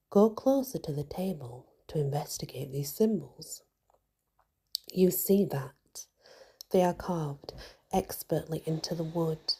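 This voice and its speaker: A woman softly whispering a scripted guided meditation in a hushed, soothing ASMR style, with many pauses. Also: fairly low pitch. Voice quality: soft, breathy, mostly whispered.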